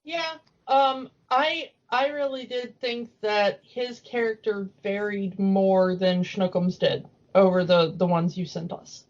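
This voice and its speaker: A woman's voice: medium pitch, slow pace, hardly any pauses. A woman co-hosting a podcast in a casual, giggly and chatty way. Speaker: podcast co-host. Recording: dull lo-fi audio.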